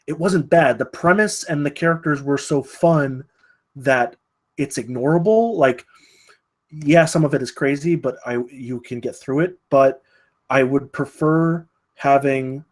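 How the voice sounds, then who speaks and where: Man, medium pitch, casual critique, hesitant, rambling, repeated words. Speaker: table read participant giving script feedback.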